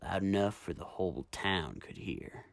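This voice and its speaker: A woman voicing a cruel, smirking gangster man in a gravelly, taunting drawl for a story reading. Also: a bass voice, a little slow, heavy background noise, voice pushed down, full character voice. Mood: smug taunting.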